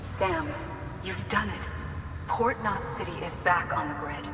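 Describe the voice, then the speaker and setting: An actress playing a composed, solemn leader in a video game, giving measured, hopeful encouragement. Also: female voice, medium pitch, close to an everyday voice. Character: solemn, composed female leader rallying a courier. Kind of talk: video game cutscene acting. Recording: reverberant, distant, music behind. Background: music.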